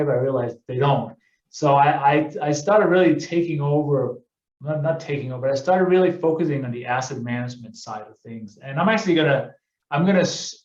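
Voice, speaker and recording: A man's voice: medium pitch, darkish tone. A middle-aged man from Denmark, presenting on a webinar with many fillers and self-corrections. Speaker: guest presenter, real estate investor. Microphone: video call. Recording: echoey, dull webinar audio.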